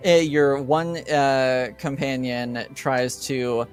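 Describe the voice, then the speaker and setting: A man improvising as game master of a tabletop game, with a halting, hesitant delivery. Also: medium pitch, fairly even intonation.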